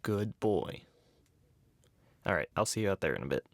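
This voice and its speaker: A soft-spoken man playing an easygoing, teasing boyfriend in a casual, rambling, slangy roleplay monologue. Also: deep voice, very animated, normal speed, vocal fry. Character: easygoing, caring, teasing boyfriend driving at night. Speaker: ASMR roleplay performer.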